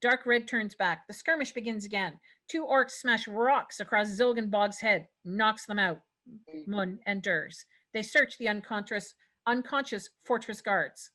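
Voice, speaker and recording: A woman's voice: mid-range voice, unhurried, bright, forceful tone. A woman narrating stage directions at a scripted table read, occasionally stumbling over words.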